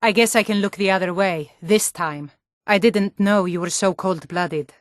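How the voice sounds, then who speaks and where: A woman's voice: mid-range voice, moderate pace, no echo, studio quality, close to an everyday voice. A woman voicing a tough, blunt female Nord warrior guard in a fantasy video game, stern, taunting and combative. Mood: stern, wary.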